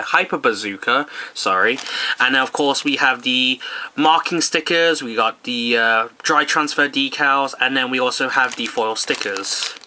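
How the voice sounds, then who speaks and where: A man's voice: medium pitch, a little hiss or hum. Man unboxing a kit over crinkling plastic. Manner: relaxed, hesitant, many ums and self-corrections. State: slightly losing his voice. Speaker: model kit channel host. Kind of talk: casual unboxing narration. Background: plastic bags crinkling and rustling.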